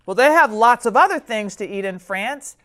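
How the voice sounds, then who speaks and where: A woman's voice: a little high, animated, normal speed, a bit bright. A woman voicing a jovial, worldly old grandfather in a children's storybook, hearty and affectionate. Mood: reminiscing.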